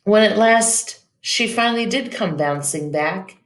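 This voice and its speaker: Slow-paced woman reading a children's story. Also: mid-range voice, animated. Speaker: teacher reading a picture book aloud. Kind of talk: expressive children's storybook narration. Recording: echoey room.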